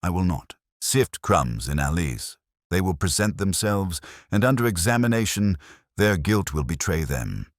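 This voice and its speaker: A man's voice: low voice, big pitch swings, no echo, studio quality. A man voicing an imperious, cerebral detective in a storybook, speaking formally and pompously. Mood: coolly confident. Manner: pompous, measured, formal wording.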